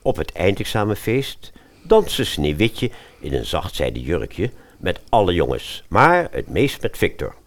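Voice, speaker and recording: A man's voice: deep voice, sing-song, a little slow. A man narrating a radio fairy tale in a dramatic storytelling style.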